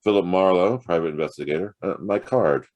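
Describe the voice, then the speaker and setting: Man playing a very low-voiced hard-boiled detective. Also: a little fast, a little mellow, muffled recording, close to an everyday voice. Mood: sardonic, cool. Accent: American. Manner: sardonic, wry, clipped. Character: hard-boiled, wisecracking male private detective, narrating his case. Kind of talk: noir audio drama acting and narration.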